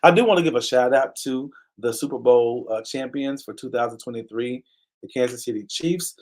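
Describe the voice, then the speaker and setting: A man hosting a live online talk show, speaking earnestly in a preacher-like cadence, repeating key phrases and stopping to thank viewers by name. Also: medium pitch. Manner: pauses to give viewers shout-outs. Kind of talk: casual live-stream commentary.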